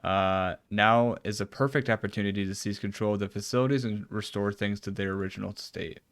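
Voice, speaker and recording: A man's voice: fairly low pitch, fairly even intonation, a little fast, fairly bright tone, no echo. A man streaming a video game, reading its formal cutscene dialogue aloud with some stumbles and casual reactions.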